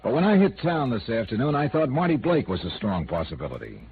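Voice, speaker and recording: An actor playing a tough, probing insurance investigator in a radio noir drama, with a sardonic, clipped way of questioning. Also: male voice, mid-range voice, big pitch swings, fast talker. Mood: probing, skeptical. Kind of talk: 1950s radio noir acting. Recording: tinny, noisy old radio recording.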